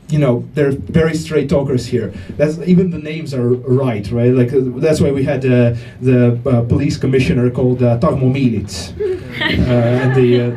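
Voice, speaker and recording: A man from Estonia performing a stand-up comedy set, with a halting delivery full of fillers and restarts. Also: medium pitch, warm tone, far from the mic, slight background noise, low-quality recording. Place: comedy club stage with live audience.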